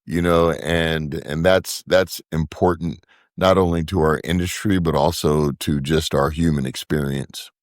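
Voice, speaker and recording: A man's voice: a bass voice, steady tone, measured pace, no echo, clean studio sound. A man speaking as a podcast guest, reflective and earnest in casual conversation, with the occasional burst of profanity. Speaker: podcast guest, brewery co-founder.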